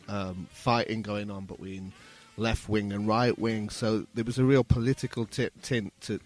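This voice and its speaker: A man in his fifties from England, recalling things as an interview guest in a halting, reflective way. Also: fairly low pitch. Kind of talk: casual interview recollection.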